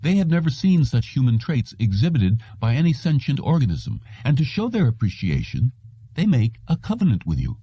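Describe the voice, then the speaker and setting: A man acts out a stern old psychiatrist in an audiobook story. He speaks in patient, wordy, formal phrases, raised in pitch with lively swings. The sound is dry and a bit dull. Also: brisk, slightly rough, no room sound, exaggerated voice. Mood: patient, explaining. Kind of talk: audiobook character reading.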